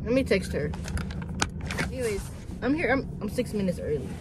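A woman's voice: mid-range voice, very animated, moderate pace, very noisy. A woman in her early twenties chatting casually to her phone camera from a parked car, rambling with lots of "like" and "dude". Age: early 20s. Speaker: vlogger. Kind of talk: casual chat while sitting in a car. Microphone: phone camera on dashboard.

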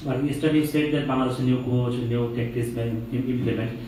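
A man's voice: medium pitch, fairly even intonation, fairly quick, warm tone. A man speaking non-native English as a reporter, asking questions at a press conference and searching for words. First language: not English. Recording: echoey room, distant mic, faint noise.

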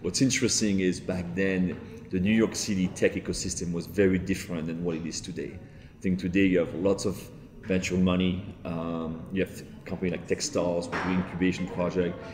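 A man with a French accent speaks in a low, grounded voice, steady and fluent with the occasional um and repeat. He sits in a large open-plan office, and the sound rings with a long, roomy reverb. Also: fairly quick, a crowd in the background. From France. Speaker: entrepreneur guest. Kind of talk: seated interview, telling his story.

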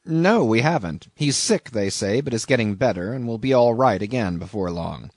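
A man's voice: medium pitch, animated, moderate pace, darkish tone, no room sound, studio quality. A man voicing a plain-spoken, skeptical and impatient sailor in an audiobook. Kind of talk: audiobook character reading.

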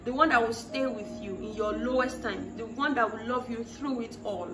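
A woman's voice: on the high side, moderate pace. A woman in her thirties talking to the camera, giving earnest faith-based relationship advice and repeating key phrases for emphasis. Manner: earnest, preachy, repeats phrases for emphasis, acts out quoted voices. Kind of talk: direct-to-camera advice talk. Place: small room against a plain wall. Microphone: camera in front, chest-up framing. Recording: roomy sound from a distant mic with music behind.

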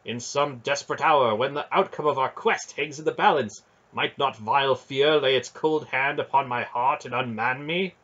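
A man's voice: medium pitch, normal speed, hardly any pauses, fairly bright tone, slightly rough, highs cut off, voice pushed up. A man voicing a brooding noble knight who speaks in an archaic, earnest and declaiming way. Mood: troubled, solemn. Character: brooding noble male knight, formal and solemn.

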